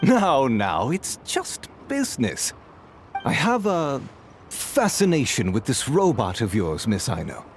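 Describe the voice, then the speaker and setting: An actor playing a suave, scheming businessman in a video game, speaking with smooth, mocking politeness. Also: medium pitch, wildly swooping pitch, slow pace, slight background noise, exaggerated voice. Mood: smooth, persuasive.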